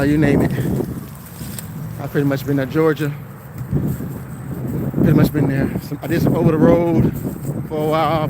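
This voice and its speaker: Higher-voiced American man over loud wind noise. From South Florida, United States. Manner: repeats phrases for emphasis, preacherly encouragement. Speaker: livestreamer and commercial driver. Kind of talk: casual livestream rambling with encouragement. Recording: noisy outdoor wind and traffic noise.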